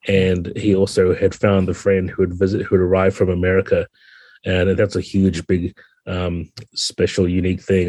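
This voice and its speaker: A man from New Zealand in his fifties talks casually as an interview guest. His voice is low and mellow, with a husky edge. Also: no room sound. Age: 50s. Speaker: interview guest, game designer.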